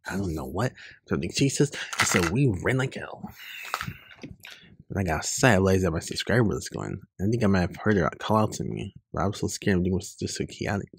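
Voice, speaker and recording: A man reading webcomic dialogue aloud in an informal way, loosely acting out the lines, with a gravelly, husky voice. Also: low-pitched, expressive, brisk, no echo. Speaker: online comic reader.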